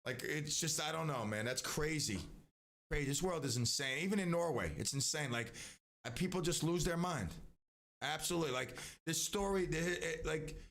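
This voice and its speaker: A man's voice: fairly high pitch, normal speed, fairly bright tone. A man hosting a live stream, talking in a casual, rambling way with many restarts and asides.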